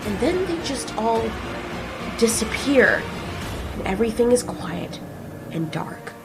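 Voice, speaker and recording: A woman narrating a homemade audio drama in a casual, expressive way. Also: a little high, takes it slowly, music in the background. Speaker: narrator and creator.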